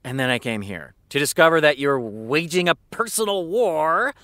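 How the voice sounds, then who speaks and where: An actor playing a flippant, sleepless, hard-drinking showrunner who rants sarcastically in naturalistic audio drama dialogue. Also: male voice, fairly high pitch, expressive, moderate pace, pushed and bright, dry recording, clean studio sound. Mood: sheepish, weary. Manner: sarcastic, theatrical ranting.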